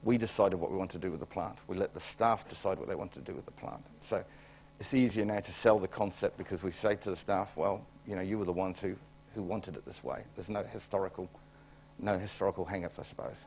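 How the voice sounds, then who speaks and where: A man with a New Zealand accent presents at a conference in a lowish voice, plain and a little wry. He is fighting off a cold and often restarts his phrases. A faint hum sits behind him. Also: fairly even intonation, quick pace, a little mellow, poor audio. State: coming down with a cold. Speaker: plant director.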